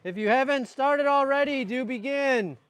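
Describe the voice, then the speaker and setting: A British man teaching a kung fu class, calling out energetic instructions to the group. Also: very high-pitched, normal speed, clear, resonant tone, no echo. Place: training ground at a mountain retreat.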